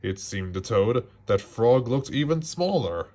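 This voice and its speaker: A man reads a children's storybook aloud at an unhurried pace. His mid-pitched voice rises and falls with lively expression. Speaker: storybook reader.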